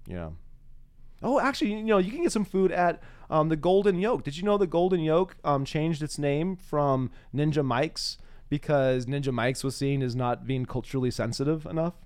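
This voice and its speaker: A man with a clear, smooth voice in a dry, close recording, hosting a casual interview. He talks in a wry, playful way and pokes fun at himself. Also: a little high, moderate pace, darkish tone, no echo. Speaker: podcast host interviewer.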